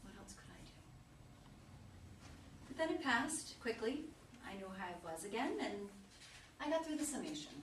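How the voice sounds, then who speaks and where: A woman's voice: fairly high pitch, big pitch swings, moderate pace, long pauses, far from the mic. A woman reading the part of an elegant, troubled mother in her sixties in a play, hesitant and uneasy. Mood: anxious, confiding. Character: elegant, troubled sixty-ish mother, a lawyer frightened by memory lapses. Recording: echoey room from afar, noisy.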